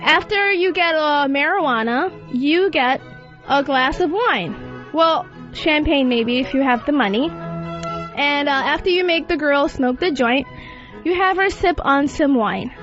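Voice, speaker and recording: Woman, talk show host, high-pitched, unembarrassed, casual delivery, muffled lo-fi recording. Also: expressive, a little slow, a bit bright. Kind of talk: casual radio chat. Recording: dull lo-fi audio, faint music.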